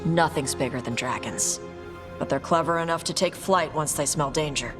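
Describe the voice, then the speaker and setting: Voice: medium pitch, normal speed, lightly acted. An actor playing a plain-spoken, casual young warrior in a video game cutscene. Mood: frank, casual. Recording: loud music behind the voice.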